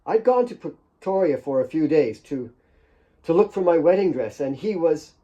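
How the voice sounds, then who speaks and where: A man voicing a scheming, gin-drinking young woman who feigns distress in halting, plaintive speech for an audiobook. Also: on the high side, lively intonation, dark tone, lo-fi sound, lightly acted. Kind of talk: audiobook character voice.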